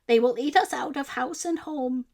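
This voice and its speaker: Exasperated, practical old woman from a storybook, pitched high and emphatic played by a female reader. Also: normal speed, darkish tone. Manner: exclaiming, scolding, emphatic.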